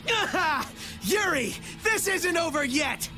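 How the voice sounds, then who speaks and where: A manic, cackling assassin. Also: male voice, very high-pitched, lively intonation, takes it slowly, bright, forceful tone, faint music behind, heavily acted. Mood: maniacal glee. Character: deranged young male assassin obsessed with a rival.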